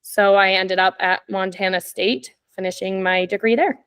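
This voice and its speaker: A woman's voice: medium pitch, steady tone, moderate pace, a bit bright, clean tone. Chatty young Canadian woman guest. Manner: chatty, laughs often, many "like" and "um" fillers. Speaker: podcast guest. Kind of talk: casual storytelling chat.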